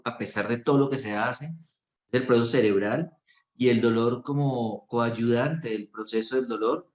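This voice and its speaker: A middle-aged Colombian doctor with a Bogotá accent, speaking earnestly and reflectively in an interview, with many fillers and restarts. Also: male voice, lowish voice, a little mellow. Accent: Colombian Spanish (Bogotá). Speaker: physician and research director. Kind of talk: promotional interview monologue. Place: clinic. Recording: echoey room.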